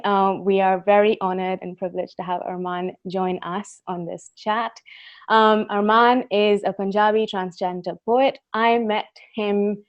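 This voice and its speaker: Woman hosting a webinar, full of ums. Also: medium pitch. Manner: warm welcoming, many ums and restarts. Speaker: host and volunteer. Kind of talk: casual webinar chat. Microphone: computer mic on video call. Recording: dull lo-fi webinar audio.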